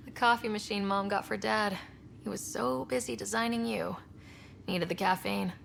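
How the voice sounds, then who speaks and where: A woman's voice: medium pitch, steady tone, a little slow, vocal fry, fairly bright tone, a little hiss or hum. A woman playing a young, curious space explorer in a video game, talking casually with dry, teasing humour. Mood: wry, curious. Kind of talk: scripted video game dialogue.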